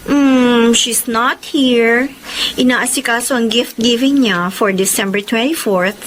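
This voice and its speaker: Breezy, high-pitched woman lawyer. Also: slow pace, slight background noise, low-quality recording. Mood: brisk, curious. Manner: effusive, mixes in English. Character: breezy, chatty woman lawyer, loyal best friend. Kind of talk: Filipino radio drama acting.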